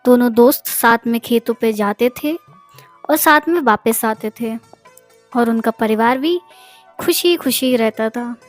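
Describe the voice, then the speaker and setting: A woman's voice: on the high side, measured pace. A woman narrating a scripted story as a storyteller. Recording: music behind the voice.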